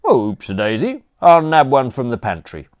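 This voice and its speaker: A man's voice: medium pitch, wildly swooping pitch, moderate pace, voice pushed up. A man voicing a brash, cheerful male butler with a cheeky, slangy way of talking. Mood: breezy. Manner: breezy, cheeky, working-class slang.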